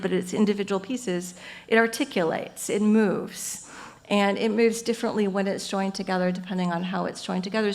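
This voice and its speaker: A woman professor lecturing to an academic audience, her voice carrying a noticeable gravelly fry at mid pitch. She talks in an engaged, earnest way, reaching for homely metaphors with plenty of "you know" and "um.". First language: English. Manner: engaged, explains ideas through everyday metaphors. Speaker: professor giving a symposium talk.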